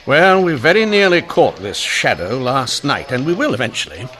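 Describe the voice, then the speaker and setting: An actor playing a gruff, impatient police inspector in an old-time radio mystery, blustering and commanding. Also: male voice, medium pitch, very animated, moderate pace, pushed and bright, low-quality recording. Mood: stern.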